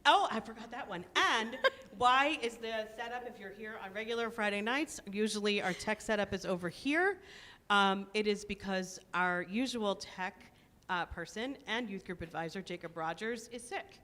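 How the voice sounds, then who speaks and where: A woman's voice: medium pitch, normal speed, bright, forceful tone. A woman welcoming guests and thanking helpers before a worship service, chatty and upbeat with frequent laughs. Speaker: congregation leader welcoming guests. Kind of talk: casual spoken welcome and thanks. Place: synagogue sanctuary. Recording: long hall reverb.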